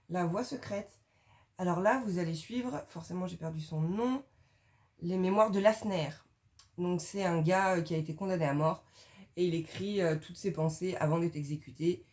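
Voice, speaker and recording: A woman's voice: on the low side, moderate pace, a little mellow. Young adult woman, book vlogger, chatty and informal, filler-heavy. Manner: chatty, slangy, many fillers. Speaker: book reviewer. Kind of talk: casual video book recommendations.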